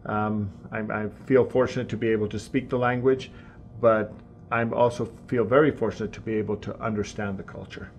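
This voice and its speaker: A man interviewed as an incoming high school principal, earnest, often repeating words as he starts a sentence. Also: flat delivery, fairly quick, darkish tone, a little hiss or hum. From Tanzania and Mexico. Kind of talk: interview answers. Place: school office.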